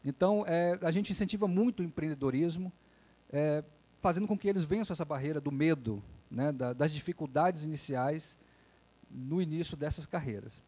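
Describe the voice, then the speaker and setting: A male lawyer delivers a public talk in a relaxed, conversational way, often checking in with his listeners through short tag questions. His voice sits a little high and has a soft, mellow tone. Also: moderate pace. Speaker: lawyer and lecturer. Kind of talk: public lecture.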